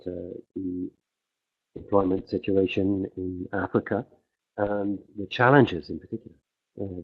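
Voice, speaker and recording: A man interpreting a conference speech on the fly, halting often with fillers as he keeps up with the speaker. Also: fairly low pitch, husky. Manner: halting, many fillers and restarts while keeping pace with the speaker. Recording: tinny phone-like livestream audio.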